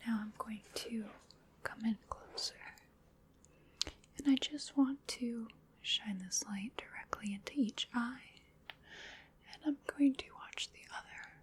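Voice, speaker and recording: An ancient female vampire, acted out by a woman for an ASMR roleplay, speaks in a whisper full of breath. She is polite and coaxing, with a sinister edge, and takes her time over every phrase. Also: a little high. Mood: clinical, gentle. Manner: slow, deliberate, soothing, genteel menace.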